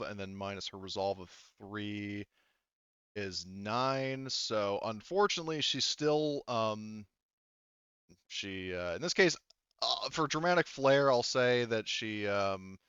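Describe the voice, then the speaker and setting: A man working as game master, narrating a tabletop roleplaying game casually and haltingly as he thinks aloud over the dice. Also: fairly low pitch, fairly bright tone, smooth, dry recording. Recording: slightly muffled dry voice recording.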